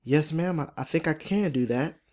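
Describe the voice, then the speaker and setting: A man's voice: fairly high pitch, normal speed, a little mellow, pitched above the performer's own voice, close to an everyday voice. A man reads the part of a young woman in a storybook. The voice is lifted well above his own. She sounds polite, earnest and anxious, with some hesitation. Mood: grateful, hesitant.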